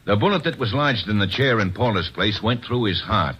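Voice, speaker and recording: A homicide inspector who reports his findings in a matter-of-fact way. His low voice is husky, and the sound is dull like an old broadcast. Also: lively intonation, a little fast. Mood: reporting. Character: gruff male police inspector, matter-of-fact. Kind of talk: 1940s radio acting. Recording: muffled old radio broadcast.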